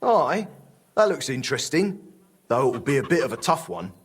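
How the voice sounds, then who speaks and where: A man playing a gruff, laconic medieval craftsman with a husky voice in a video game. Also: mid-range voice, very animated, moderate pace. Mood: gruff, agreeable. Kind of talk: naturalistic game dialogue.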